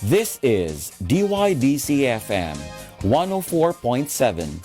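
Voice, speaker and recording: A man's voice: medium pitch, huge pitch swings, slow pace, loud music behind the voice. A man delivering a radio station identification as an announcer in a scripted broadcast style.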